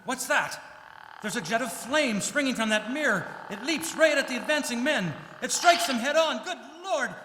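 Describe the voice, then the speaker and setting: A man's voice: very high voice, animated, moderate pace, sharp tone, a little hiss or hum, heavily acted. A man playing a horrified radio news reporter giving a stammering, dramatic eyewitness account in a live old-time radio drama. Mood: panicked. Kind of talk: live radio drama acting, 1930s news bulletin manner. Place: live stage performance in a church. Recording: reverberant church hall.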